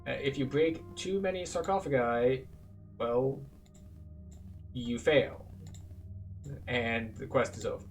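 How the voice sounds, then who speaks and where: A man's voice: medium pitch, slow pace, long pauses, recorded from a distance. A man giving casual, explanatory gameplay commentary as a methodical tour guide. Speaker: video game tour guide, player narrating a playthrough. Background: game music.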